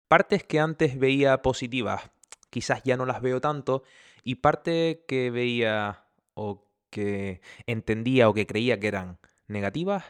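A young man with a Canarian Spanish accent hosts a casual podcast from his home. He talks in a chatty, easygoing way and breaks off for joking asides. His voice sits at an ordinary, middle pitch for a man. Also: smooth. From Canary Islands, Spain. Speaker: podcast host. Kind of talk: casual chat. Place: at home, indoors.

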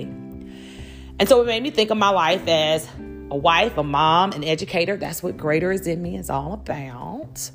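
Low-pitched woman, chatty podcast host. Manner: chatty, animated storytelling with quoted asides. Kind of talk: conversational solo podcast monologue.

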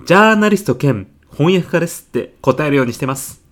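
A man's voice: fairly high pitch, lively intonation, quick pace, fairly bright tone. A man playing a polite, talkative, self-important dad in a comedic audio drama. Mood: earnest, proud. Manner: polite, talkative, matter-of-factly proud. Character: earnest, self-important stay-at-home-working dad, celebrity gossip writer.

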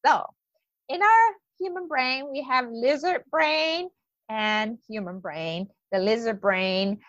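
Female life coach, 40s, Japanese accent, unhurried hesitant delivery, muffled lo-fi sound. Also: fairly high pitch, a bit bright, dry recording. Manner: hesitant, many ums, enthusiastic. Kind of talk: webinar presentation.

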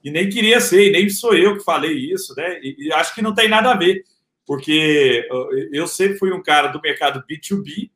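Man, Rio de Janeiro accent, slangy casual talk, fairly high voice, echoey room. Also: moderate pace. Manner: relaxed slangy talk with filler words. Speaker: entrepreneur guest. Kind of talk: casual interview storytelling.

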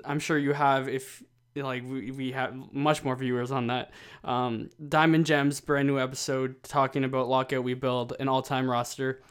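A man's voice: medium pitch, little pitch movement, normal speed, few pauses, clear, resonant tone. A man co-hosting a podcast, chatting casually and joking at his own expense. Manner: joking, self-deprecating, often restarts. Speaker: podcast co-host. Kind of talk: casual chat.

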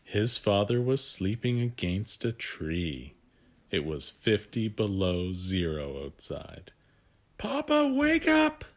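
A man with a deep voice reads a picture book to young children. His delivery is slow and very expressive, with gentle asides to the listener. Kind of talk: read-aloud storytelling for young children.

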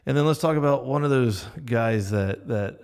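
A man's voice: medium pitch, normal speed, darkish tone, clear, resonant tone. A man conducting a conversational interview, affirming and somewhat hesitant as he rephrases his questions. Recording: long reverberant hall echo.

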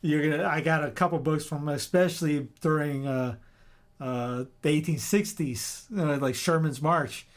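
A talkative man hosting a relaxed interview, his voice sitting a bit higher than most men's. He jokes and drifts into long stories. The tone is easygoing and conversational. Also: normal speed. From South Carolina, US. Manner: chatty, joking, rambling storyteller. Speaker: host. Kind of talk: casual podcast interview.

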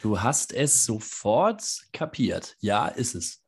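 A man co-hosting a podcast from his home kitchen, hoarse and scratchy from a cold, chatting casually with dry, teasing humor. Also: on the low side, big pitch swings, slow. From Northern Germany. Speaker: podcast co-host. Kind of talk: casual chat. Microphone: home mic in a kitchen.

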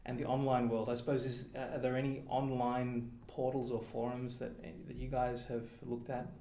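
A man's voice: medium pitch, little pitch movement, moderate pace, warm tone. Man hosting a panel, roomy sound. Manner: loose, filler-heavy. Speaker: host, discussion facilitator. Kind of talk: panel discussion. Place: meeting room.

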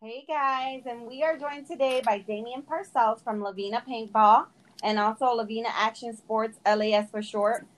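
A woman's voice: fairly high pitch, fairly even intonation, normal speed, poor audio. A woman co-hosting a podcast in casual, lightly teasing chat. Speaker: podcast co-host.

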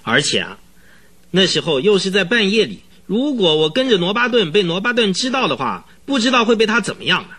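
Radio drama casting: easily spooked, chattering horse trainer, bright husky voice, dramatic storyteller. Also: male voice, high-pitched, sing-song, brisk, exaggerated voice. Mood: agitated, secretive. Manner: rambling, dramatic storytelling full of interjections. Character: excitable, rambling, easily frightened male horse trainer witness.

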